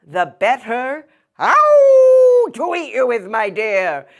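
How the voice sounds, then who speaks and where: A woman voicing a sly, hungry male wolf in a fairy tale, coaxing in drawn-out phrases broken by howls. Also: high-pitched, wildly swooping pitch, muffled recording, pitched above the performer's own voice, full character voice. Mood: menacing, hungry.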